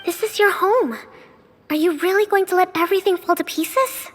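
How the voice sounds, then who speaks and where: A self-assured young general in a game, her voice very high yet firm and earnest as she urges others on. Soft game music plays under her lines. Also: normal speed, long reverb tail, slight background noise. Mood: urging. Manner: earnest, persuasive speeches. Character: confident young woman general, rousing and bold. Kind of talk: video game cutscene dialogue.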